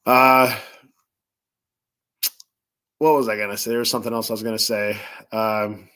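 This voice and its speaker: A man's voice: fairly low pitch, little pitch movement, unhurried, sharp tone, clean tone. Stuffy-nosed man ranting bluntly. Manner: blunt, rambling, swears freely. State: stuffy nose. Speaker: sports talk show host. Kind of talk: casual live sports talk stream.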